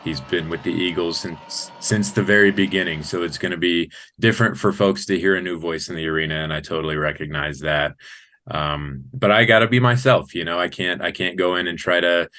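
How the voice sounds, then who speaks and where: An American man with a low, forceful voice answers interview questions. He speaks conversationally, with earnest enthusiasm and the bright edge of a sports announcer. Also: a little fast. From Colorado, United States. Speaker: sports public-address announcer, interview guest.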